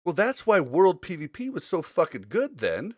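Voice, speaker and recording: A man's voice: high-pitched, wildly swooping pitch, normal speed, no echo, studio quality. A man chatting casually on a gaming podcast, giving opinions in a rambling, storytelling way. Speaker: podcast host.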